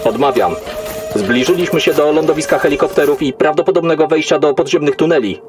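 A man's voice: a little high, fairly quick, music in the background, lightly acted. A man playing an emotionless military AI, giving clipped, formal reports in a sci-fi audio drama. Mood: cold, detached. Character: cold logical military AI controlling a soldier's body.

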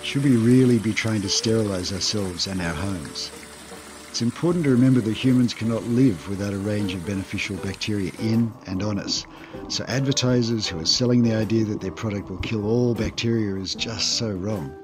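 A man who owns an eco lodge, narrating a scripted voice-over in a calm, measured, explanatory way. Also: lowish voice, music in the background.

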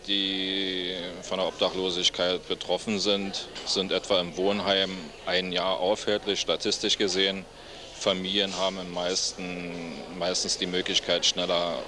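A middle-aged man giving measured, factual interview answers in official wording, speaking into a handheld microphone. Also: low voice. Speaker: interview guest, head of a homeless hostel. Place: seated among plants in a senior citizens' centre.

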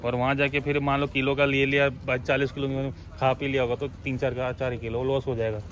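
A man's voice: mid-range voice, flat delivery, fairly quick, a bit bright. A man interviewing someone casually, asking questions, summing up and agreeing with the answers. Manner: agreeable, often echoes and confirms the answers.

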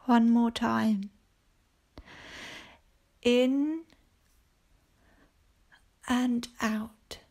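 A woman teacher leading a guided mindfulness body scan for children, speaking calmly and gently in short phrases with long pauses. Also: on the high side. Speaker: primary school teacher leading a guided meditation.

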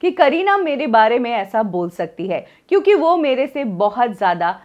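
A woman in her thirties presenting scripted celebrity gossip news in a studio, telling the story in a lively way and dropping in English phrases. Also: on the high side, moderate pace, lo-fi sound.

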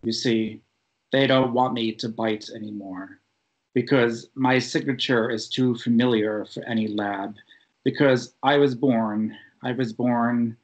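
Male poet, mid-range voice, very unhurried and deliberate verse reading, dull online call audio. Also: medium pitch, steady tone. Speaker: poet reading own work. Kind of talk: poetry reading. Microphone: video call.

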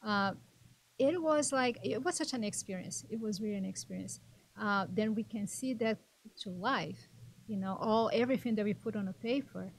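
A woman's voice: medium pitch, moderate pace, rough recording. Earnest Brazilian-accented woman guest. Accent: Brazilian Portuguese. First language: Portuguese. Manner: earnest, emotional, talks in loose restarts. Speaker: documentary co-creator, guest. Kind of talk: casual interview chat. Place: small TV studio set. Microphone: desk microphone on a boom.

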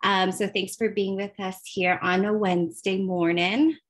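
A woman's voice: mid-range voice, fairly even intonation, a little slow. A woman moderating a student panel over a video call, friendly with many fillers.

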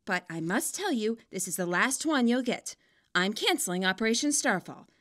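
An awkward, reluctant teenage schoolgirl, as a woman narrator reads her in a story. She speaks curtly and guardedly, in a bright, fairly high voice. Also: animated, normal speed. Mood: stiff resolve. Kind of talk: storybook character reading.

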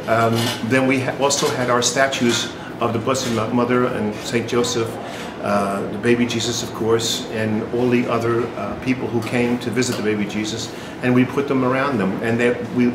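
A man in his sixties from New York whose first language is Sicilian, reminiscing about family Christmases in an interview with many fillers and restarts. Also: mid-range voice. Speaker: interviewee, English professor. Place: indoors in a museum room. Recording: roomy echo with room noise.

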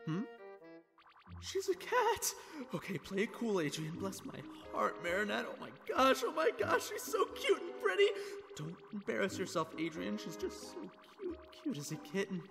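A man voicing a lovestruck, nervous teenage boy in a fan comic dub, talking in a hushed, rambling inner monologue. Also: high-pitched, huge pitch swings, normal speed, creaky, music in the background, full character voice. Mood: smitten.

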